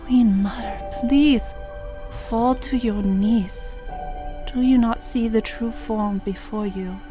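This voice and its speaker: A woman playing a gentle, devout young queen in an audio drama, formal, measured and pleading. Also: fairly high pitch. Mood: reverent. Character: gentle, devout young medieval queen, humble yet steadfast. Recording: music under the voice.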